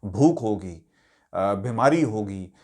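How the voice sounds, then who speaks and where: Pakistani man giving halting political commentary. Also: fairly low pitch, animated, a little mellow. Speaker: news commentator and host. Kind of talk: political news commentary to viewers.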